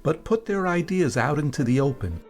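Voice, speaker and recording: A man presenting and reading scripted narration on radio in a measured, explanatory manner. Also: fairly high pitch, big pitch swings, soft background music.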